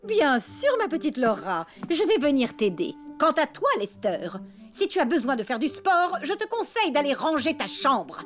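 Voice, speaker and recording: A prim, fussy female elephant babysitter for a French cartoon. Her voice is high and swoops widely as she scolds and condescends, with light music behind her. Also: sing-song, normal speed. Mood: fussy, condescending.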